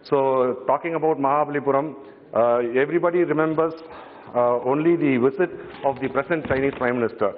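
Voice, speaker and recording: A man's voice: mid-range voice, fairly quick, a little hiss or hum. Middle-aged male MP, Tamil-accented English, hesitant delivery, reverberant hall on narrow audio. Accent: Tamil Indian English. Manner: hesitant, many fillers and restarts, glancing at notes. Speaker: member of parliament. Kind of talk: parliamentary speech. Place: large parliament chamber. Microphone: desk microphone from standing. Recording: echoing hall, phone-like broadcast audio.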